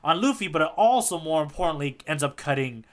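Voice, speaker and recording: Bright, higher-pitched man chatting casually. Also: expressive, fairly quick. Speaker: podcast co-host. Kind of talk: casual chat recapping a story.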